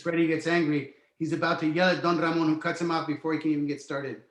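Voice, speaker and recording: Higher-voiced man reading directions, echoey room. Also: level tone, quick pace, fairly bright tone. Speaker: narrator reading stage directions. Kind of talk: screenplay table read narration, present tense.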